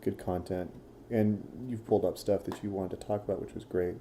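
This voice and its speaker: A man co-hosting a laid-back podcast, with a very deep voice and a thoroughly dark, mellow tone. He rambles easygoingly and swears casually. Faint noise hums behind him. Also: flat delivery, fairly quick. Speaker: podcast co-host. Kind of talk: casual chat.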